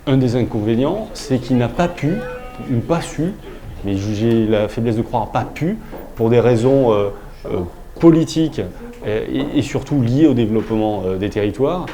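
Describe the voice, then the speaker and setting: A man, a local official, explaining in a public presentation style with halting, pause-filled delivery. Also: medium pitch, expressive, warm tone.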